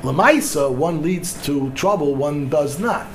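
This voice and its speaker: Man, middle-aged, rabbi, lecture, Hebrew legal terms, restarts sentences, slightly high pitch. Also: lively intonation, measured pace, slight background noise. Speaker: rabbi teaching a class.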